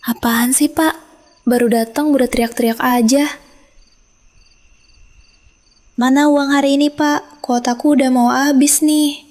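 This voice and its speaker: A woman's voice: high-pitched, fairly even intonation, normal speed, a little mellow, close to an everyday voice. A woman voicing a greedy, nagging middle-aged village wife who talks in a whiny, scornful way.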